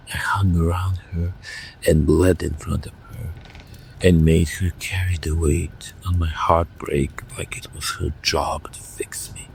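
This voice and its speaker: A man playing a blunt, brooding young werewolf in a whispered audio drama, talking in slow, hushed tones. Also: low voice, vocal fry, slight background noise, heavily acted, low-quality recording.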